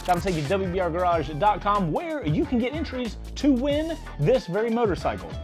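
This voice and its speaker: A man's voice: high-pitched, very animated, a little fast. Young dude griping about his bike, bouncy up-and-down voice, music playing under it. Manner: wry, complaining, joking. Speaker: motorcycle vlogger, show host. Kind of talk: casual chatty vlog narration.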